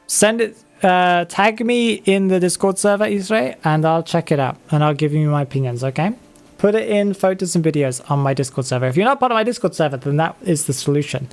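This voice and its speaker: A man's voice: high-pitched, brisk, faint music behind. A man in his twenties chatting casually on a live game stream, reading chat and laughing often. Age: 20s.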